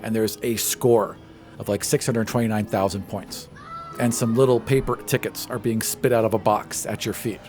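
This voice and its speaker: A man's voice: fairly low pitch, fairly even intonation, moderate pace, loud music behind the voice. A man narrating a tabletop game as game master, setting scenes dramatically in the present tense. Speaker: game master, podcast host.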